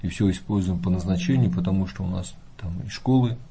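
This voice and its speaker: A male Orthodox priest speaking in an unhurried, folksy way, with many fillers and hesitations. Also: low voice, warm tone, a little hiss or hum. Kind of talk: calm talk show conversation.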